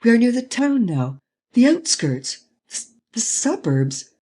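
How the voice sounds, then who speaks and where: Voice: medium pitch, big pitch swings, normal speed, warm tone, husky. A reader voicing a frightened young male chauffeur, pleading frantically in an audiobook. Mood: panicky. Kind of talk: audiobook reading.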